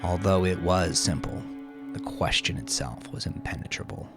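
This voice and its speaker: Deep, gravelly male audiobook narrator. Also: normal speed, music in the background. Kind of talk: dramatic audiobook narration of crime fiction.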